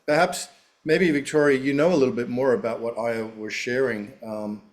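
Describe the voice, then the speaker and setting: A man greeting the hosts on an online video call, speaking warmly and hesitantly with many fillers. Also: medium pitch, fairly even intonation, normal speed. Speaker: event organizer. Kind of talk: casual video call chat.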